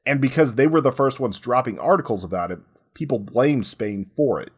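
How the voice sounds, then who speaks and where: A man with a husky voice telling history conversationally, as a podcast host. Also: mid-range voice, animated, fairly quick.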